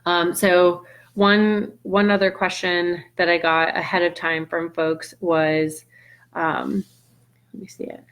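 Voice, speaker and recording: Low-voiced Puerto Rican woman scientist. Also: little pitch movement, slow, a bit bright. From Puerto Rico. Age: middle-aged. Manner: thoughtful, with frequent ums and restarts. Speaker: nutrition scientist hosting a live Q&A. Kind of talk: answering live audience questions.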